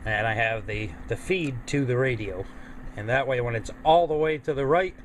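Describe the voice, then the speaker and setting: A man's voice: medium pitch, normal speed, slight background noise. A man giving a casual hands-on demonstration to camera, plain-spoken and thinking aloud as he works. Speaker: host of a hobby radio video.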